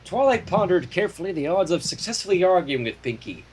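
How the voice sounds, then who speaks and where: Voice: mid-range voice, very animated, quick pace, darkish tone. A livestream host reading a story aloud in narration, mixed with casual chat. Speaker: fanfiction reader, livestream host. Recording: faint background noise, livestream audio.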